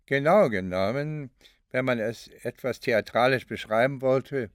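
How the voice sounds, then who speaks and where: Actor as slow, searching, pensive man. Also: medium pitch, clean tone, no room sound, studio quality, natural voice. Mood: pensive, grave. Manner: halting, deliberate, thoughtful, with long drawn-out phrases. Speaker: performer in a radio play recording session. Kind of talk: re-enacting spontaneous reflective testimony.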